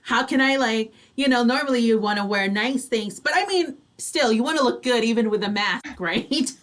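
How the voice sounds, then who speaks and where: A woman co-hosting a fashion talk show, chatting casually in an animated, emphatic way. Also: fairly high pitch, moderate pace. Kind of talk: casual chat.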